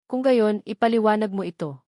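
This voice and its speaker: A Filipino woman voicing a calm, cold, commanding male hospital owner in a story, firm and measured. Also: mid-range voice, no room sound, clean studio sound, natural voice. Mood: firm, accusing.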